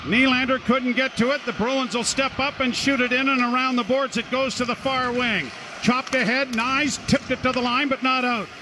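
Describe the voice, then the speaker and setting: Male radio hockey announcer, very high voice, excitable and clipped, over noisy broadcast sound. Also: normal speed. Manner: excitable, clipped running description. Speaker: play-by-play announcer. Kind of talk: live radio hockey commentary.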